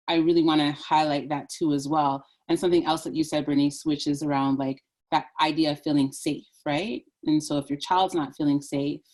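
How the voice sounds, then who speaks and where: A woman moderating a panel discussion, talking in an animated, chatty way with many fillers. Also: deep voice.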